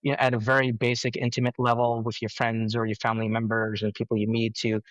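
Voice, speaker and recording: A middle-aged man from India answering interview questions conversationally, haltingly, with many repeated words and filler phrases. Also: mid-range voice, monotone, no echo, lo-fi sound. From India, raised in Mumbai. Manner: halting, frequent "you know" and repeated words. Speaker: interview guest, cybersecurity professional.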